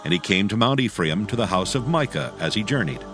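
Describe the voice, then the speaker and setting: Male narrator for dramatized scripture: solemn, measured storytelling in a lower register over clear music. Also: animated.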